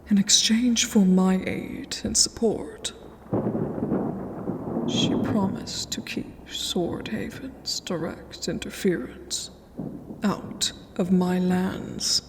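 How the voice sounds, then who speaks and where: A woman voicing a regal, haughty, ageless vampire queen in a fantasy ASMR roleplay, speaking formally and imperiously in a breathy half-whisper. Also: medium pitch, noisy background. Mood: measured, regal. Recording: long cavernous reverb.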